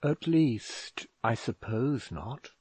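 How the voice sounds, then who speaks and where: A man voicing a young woman who tries to calm a family quarrel in a soft, pleading voice. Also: mid-range voice, very slow, husky, no room sound, exaggerated voice. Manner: soft, pleading, at times whispering. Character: anxious young woman, a pleading peacemaking daughter.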